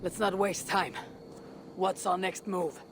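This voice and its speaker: A woman's voice: fairly low pitch, fairly even intonation, slow pace, background noise. An actress playing a blunt, impatient, skeptical female warrior in a video game, with a dry and curt delivery. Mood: skeptical, businesslike. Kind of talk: video game character dialogue.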